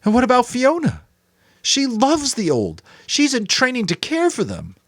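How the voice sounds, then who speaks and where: A man's voice: extremely high pitch, huge pitch swings, moderate pace, no echo, clean studio sound, pitched above the performer's own voice, heavily acted. A man voicing a distraught, bitterly defiant adolescent boy in a dramatic audiobook reading. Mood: anguished accusing. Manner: tearful, sarcastic, spitting out questions.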